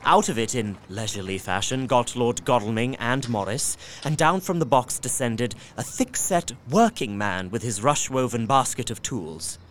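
An actor narrating as a young Victorian man writing his journal, measured and earnest, over faint background noise. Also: mid-range voice, lively intonation, a bit bright, natural voice. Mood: tense. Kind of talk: audio drama narration.

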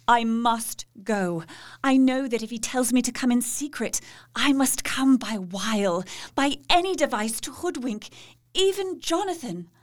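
An actress playing a haunted young wife, intense and measured, pleading with resolve. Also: female voice, a little high. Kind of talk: audio drama acting.

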